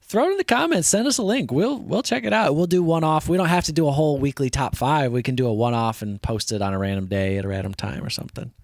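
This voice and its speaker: Upbeat man in his thirties, fairly high voice. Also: quick pace, gravelly, few pauses, dry recording. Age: 30s. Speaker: co-host. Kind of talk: casual live Q&A chat.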